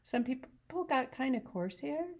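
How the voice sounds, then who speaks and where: A woman's voice: high voice, expressive, a little slow, a little mellow, pitched above the performer's own voice, full character voice. Woman doing a vain, teasing young ranch wife. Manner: teasing, coy, rural grammar. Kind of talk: audiobook reading.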